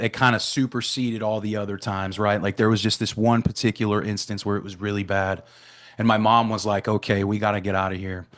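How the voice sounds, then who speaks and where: A man in his thirties from Florida talks openly about his past as a podcast guest on a video call. He often checks in with "right?" and "man", in a slightly low voice. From Florida, USA. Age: 30s. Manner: open, leans on "right?" and "man". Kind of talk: candid personal storytelling. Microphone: remote video call.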